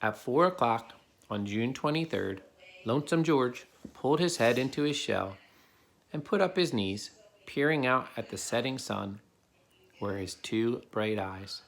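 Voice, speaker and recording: A man's voice: mid-range voice, animated, unhurried, gravelly, fairly bright tone. A man reading a children's picture book aloud as a father would to his child, in a plain storytelling way.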